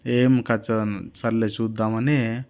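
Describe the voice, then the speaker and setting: Man as low-voiced, fearless old holy man. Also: little pitch movement, takes it slowly, a little mellow, dull audio. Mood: unafraid. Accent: Rayalaseema Telugu. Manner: plain-spoken, admonishing, confident.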